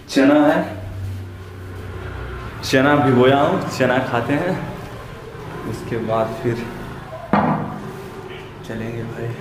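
A young man talking casually to a camera he holds at arm's length, narrating his day and calling his viewers "bhai". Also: a little high. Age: young adult. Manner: chatty, keeps saying "bhai". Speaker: vlogger. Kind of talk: casual selfie vlog. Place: outdoors, home and gym. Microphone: camera held at arm's length. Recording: echoey with loud street noise.

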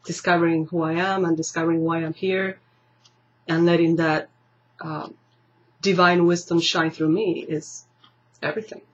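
Thoughtful woman from Argentina, soft lo-fi sound. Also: fairly low pitch, little pitch movement, moderate pace, long pauses. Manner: thoughtful, searching for words, laughs easily. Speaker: interview guest. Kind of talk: casual interview. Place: library room lined with bookshelves, indoors. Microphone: camera mic at table distance. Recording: slightly dull lo-fi webcam audio.

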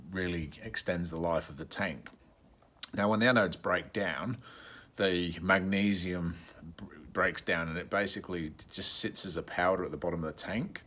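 A man in his forties with a low-pitched voice talks casually to his phone as a working plumber. He explains things step by step in an informal, plain-spoken way. The outdoor recording carries faint background noise. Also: fairly bright tone. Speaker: plumber presenting a how-to video. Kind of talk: casual explanatory vlog to camera. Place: outdoors in a residential yard by a brick wall. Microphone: phone at arm's length.

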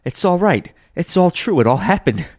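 A man's voice: fairly low pitch, measured pace, gravelly, a little mellow. A man voicing a repentant old miser in a storybook, fervent and exclamatory, with breathless, effusive delivery. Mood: giddy elation. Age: older.

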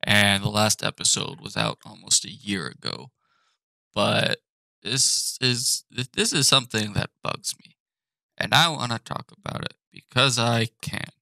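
A young man ranting profanely and with exasperation from his apartment, now and then breaking into shouts. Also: lowish voice, a little slow, vocal fry, no echo. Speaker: animated channel host. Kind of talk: ranting commentary.

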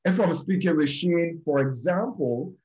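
Man, Barbadian accent, high-pitched, emphatic, repeats phrases, video call audio, muffled lo-fi sound. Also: a little slow, darkish tone. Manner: emphatic, repeats phrases, addresses listeners directly. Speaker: group session speaker. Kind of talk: preachy motivational talk.

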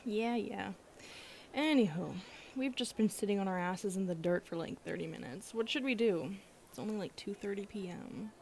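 A woman's voice: mid-range voice, normal speed, creaky, darkish tone, slight background noise, lightly acted. A woman playing a brash, friendly young goth college girl with a casual, teasing manner in an ASMR roleplay. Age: young adult. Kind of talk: ASMR roleplay audio drama.

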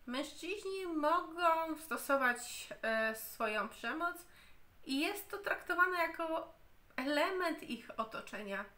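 A woman in her thirties giving a spoken book review at home into a camera, slow and deliberate, often pausing and restarting. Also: very high voice, lively intonation, sharp tone. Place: home room, indoors.

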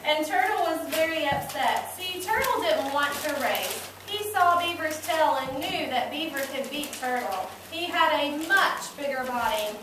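A woman narrating a children's story in a deliberate way, repeating words for emphasis. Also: high-pitched, animated. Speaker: narrator of a school puppet show, prompting child puppeteers. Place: classroom, recorded from the audience side. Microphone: distant camera microphone. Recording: echoey room, far mic, noisy.

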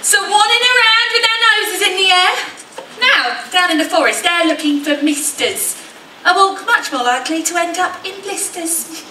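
Woman playing a fairy narrator, rhyming, theatrical, reassuring, very high voice, echoey hall. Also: normal speed, bright, forceful tone, slight background noise. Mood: wry, reassuring. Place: large hall stage, indoors. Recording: echoey stage hall from far off.

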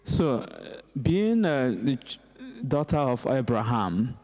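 Middle-aged male priest, homily, unhurried slow delivery, mid-pitched voice, measured pauses. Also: very animated, darkish tone. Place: inside a large church, at the lectern. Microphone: gooseneck lectern microphone.

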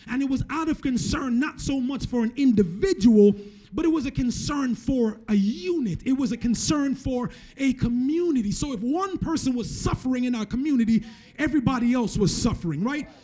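A man in his thirties preaches with a high, mellow voice. He talks to his congregation conversationally, circling back over his phrases and checking in with "right?" as he goes. He is on a handheld microphone. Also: lively intonation, normal speed. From Jamaica, moved to the US at eleven. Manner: repeats and restarts phrases for emphasis, often tags points with "right?". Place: church stage, indoors. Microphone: handheld microphone held close.

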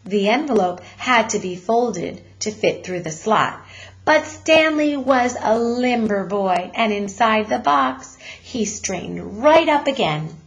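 A woman reading a children's story aloud like a teacher, deliberate and expressive. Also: fairly high pitch, poor audio.

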